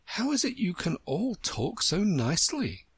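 A woman's voice: on the low side, big pitch swings, a little slow, slightly rough, no echo, voice pushed up, heavily acted. A woman voicing a curious, polite young girl who asks questions in a storybook. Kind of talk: storybook character voice.

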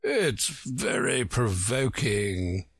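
Man putting on a pompous slow-drawling egg creature. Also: on the low side, sing-song, gravelly, breathy edge, no room sound, clean studio sound, full character voice. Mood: haughty, offended. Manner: haughty, drawn-out, growling. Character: pompous, testy egg-man perched on a wall. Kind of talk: storybook character reading.

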